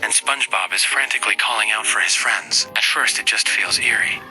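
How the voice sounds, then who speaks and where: A man's voice: on the low side, fairly quick, pushed and bright, low-quality recording. A man delivering scripted narration in the style of a spooky countdown video. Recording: prominent music under voice. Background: music.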